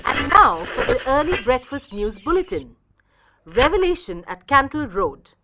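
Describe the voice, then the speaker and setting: A woman's voice: sits high, sing-song, unhurried, noisy background. A woman playing a formal radio news reader announcing a bulletin within a radio drama.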